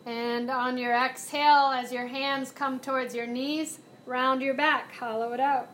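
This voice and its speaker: Slow, soothing woman yoga teacher. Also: high voice, fairly even intonation, fairly bright tone, a little hiss or hum, poor audio. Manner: slow, soothing cueing with breath prompts. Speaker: yoga and mindfulness instructor. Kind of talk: guided chair yoga instruction. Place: classroom.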